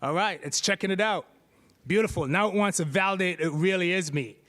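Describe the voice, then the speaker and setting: High-voiced playful man demoing on stage. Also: fairly quick, a bit bright. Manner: playful, chatty, jokes with the crowd. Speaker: presenter giving a tech demo. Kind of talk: live stage demo to an audience. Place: stage at a tech meetup. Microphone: handheld mic.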